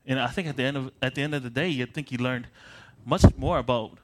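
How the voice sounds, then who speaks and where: A man's voice: medium pitch, fast, heavy background noise. A man in his late twenties from Michigan, leading a prayer and then introducing himself as a preacher in a casual, self-deprecating way, often restarting his phrases and chuckling as he paces around. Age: late 20s. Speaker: pastor.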